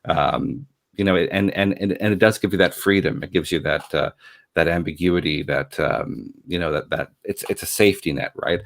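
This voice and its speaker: A man from Canada with a low voice talks casually and at length. He is effusive and rambling, often doubling back on his words. The sound is dry, with very little room. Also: moderate pace, no echo. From Canada, grew up in Montreal. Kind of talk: casual conversational chat.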